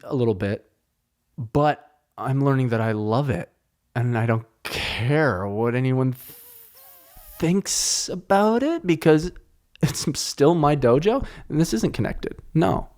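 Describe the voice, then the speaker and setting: A man with an ordinary, middle-pitched voice hosts his own podcast alone, close to the mic. His first language is French. He tells loose, meandering stories in a joking, profane, casual way. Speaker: podcast host. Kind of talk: casual solo podcast rambling. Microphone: close studio mic.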